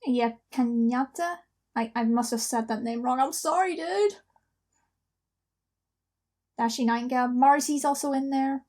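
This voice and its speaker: A woman hosting a reaction video, commenting casually and spontaneously with excitable fangirl exclamations. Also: high voice, measured pace, long pauses.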